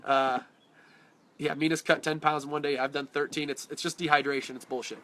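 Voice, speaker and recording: A man's voice: on the high side, little pitch movement, a little fast, pushed and bright. A man coaching on fitness in a casual live-stream Q&A, talking directly and bluntly, sounding congested from a cold. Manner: direct, blunt, answers viewer questions by name. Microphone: phone or webcam on a live stream.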